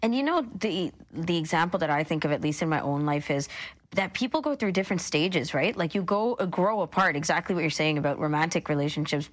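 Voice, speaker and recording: Low-voiced woman co-hosting a talk show. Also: fairly bright tone. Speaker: co-host. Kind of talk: conversational radio discussion.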